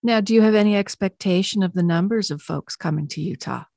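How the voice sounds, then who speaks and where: A woman interviewing guests for local news, asking clear, measured questions. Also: medium pitch, a little mellow.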